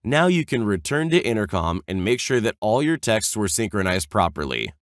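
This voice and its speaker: Male tutorial narrator, lowish pitch, animated melody, step-by-step scripted delivery, dry close voice-over. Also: moderate pace, dry recording. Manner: clear step-by-step explaining.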